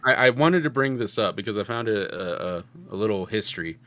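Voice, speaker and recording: Male co-host, bright forceful voice, medium pitch, conversational film talk, dry recording. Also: lively intonation, brisk, dry recording. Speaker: podcast co-host. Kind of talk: casual film review podcast chat.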